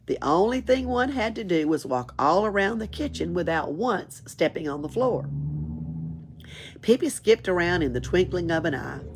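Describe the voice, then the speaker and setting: A woman's voice: lowish voice, moderate pace, a little hiss or hum. A woman reads a children's story aloud expressively, as a library storyteller. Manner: expressive, chuckles and adds asides. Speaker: library read-aloud reader.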